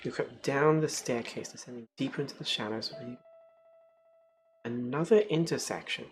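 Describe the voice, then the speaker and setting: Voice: mid-range voice, normal speed. A streamer reading a text adventure game aloud as story narration, with casual asides. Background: music.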